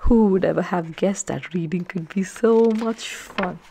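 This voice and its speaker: A woman narrating a children's story aloud, with expressive, emphatic phrasing for young listeners. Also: on the low side, measured pace, vocal fry, slightly rough.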